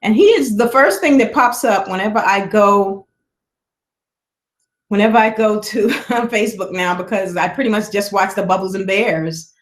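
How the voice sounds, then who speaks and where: A woman's voice: mid-range voice, normal speed. A woman hosting a casual live stream from home, chatting and rambling with a projecting voice, recorded on a laptop mic. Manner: chatty, rambling, laughs often, drinks between sentences. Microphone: laptop built-in mic.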